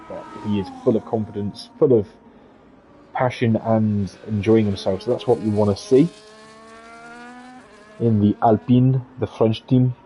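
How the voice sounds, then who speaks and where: A man calls an online racing league live, talking in a chatty, upbeat way with playful asides. His voice is mellow and relaxed, with a faint hiss of noise behind him. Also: fairly low pitch, low-quality recording. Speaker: esports race commentator. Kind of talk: live race commentary.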